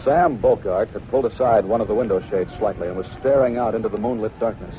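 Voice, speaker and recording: A man's voice: fairly low pitch, quick pace, phone-quality sound, faint music behind. A man narrating a 1950s radio western in a scripted, storytelling style. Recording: noisy, band-limited vintage radio recording.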